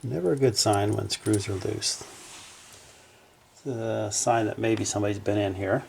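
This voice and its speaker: Low, gravelly man thinking aloud. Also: fairly even intonation, moderate pace, darkish tone, slight background noise. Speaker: hobbyist electronics repairer presenting his project. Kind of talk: casual, unscripted workbench narration. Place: small indoor home workshop at a bench.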